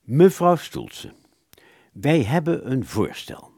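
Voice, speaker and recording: A man's voice: medium pitch, sing-song, takes it slowly, darkish tone, slightly rough, dry recording, clean studio sound, full character voice. A man playing an earnest, courteous musician in a radio play, hesitant and formal, stumbling over his words. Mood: awkward pleading.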